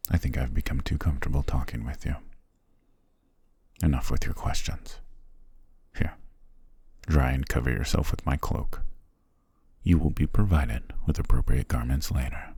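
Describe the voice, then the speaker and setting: A man performs an ancient male vampire who rules his coven in a fantasy ASMR roleplay. His voice is dark and gravelly, recorded close and dry. He speaks with archaic formality, commanding and possessive in every measured phrase. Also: no echo, exaggerated voice. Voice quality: very deep, gravelly, breathy.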